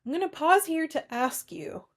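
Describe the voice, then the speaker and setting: A woman co-hosting a casual film discussion, recapping in an informal, conversational way with animated retelling. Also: high voice, normal speed. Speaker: podcast co-host.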